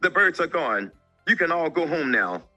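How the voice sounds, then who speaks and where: A man's voice: a little high, big pitch swings, normal speed, sharp tone, faint music behind, voice pushed up, heavily acted. A man voicing an eager, sneaky young male spider in a children's folktale. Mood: nervous, cheerful.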